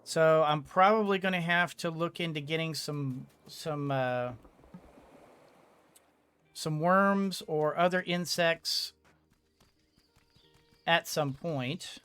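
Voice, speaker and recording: Game streamer, medium pitch, very unhurried delivery, casual commentary, hesitant, rambling, thinking out loud. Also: smooth.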